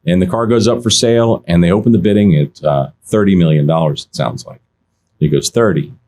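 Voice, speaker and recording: A man who works in car auctions, telling stories in a relaxed podcast chat, often restarting his sentences and quoting other people. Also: low-pitched, fairly quick, slight background noise. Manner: animated anecdotes, many restarts, quotes others. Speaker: auction insider guest. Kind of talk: casual podcast storytelling.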